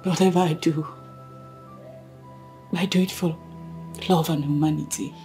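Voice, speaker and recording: An actress playing a loyal young woman who pleads quietly and in whispers for her mother to be cared for. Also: deep voice, fairly even intonation, measured pace, faint music behind. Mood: pleading. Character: selfless young woman facing her death, loyal friend.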